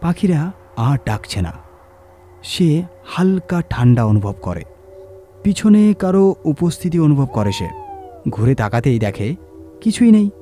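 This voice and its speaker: A man's voice: a little high, sing-song, unhurried, long pauses, mellow, soft background music. A man reading a scripted horror story as narrator, in a dramatic storytelling style.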